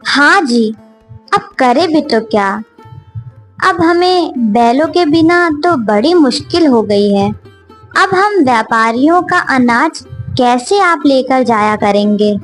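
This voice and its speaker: A woman voicing a gentle, supportive village wife in a children's cartoon story. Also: sits high, expressive, takes it slowly, faint music behind, heavily acted. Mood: worried.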